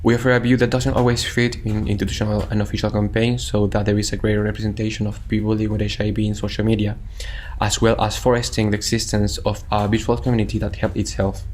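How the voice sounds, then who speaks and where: A man's voice: lowish voice, monotone, quick pace, a little mellow, a little hiss or hum. A man with a Chilean Spanish accent reading a scripted presentation as an advocate. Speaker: youth HIV advocate.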